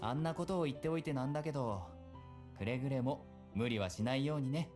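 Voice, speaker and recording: A man voicing an easygoing, gently teasing policeman and mentor in a visual novel. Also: mid-range voice, very fast, soft background music, lightly acted. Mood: gentle advice. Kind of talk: Japanese visual novel voice acting.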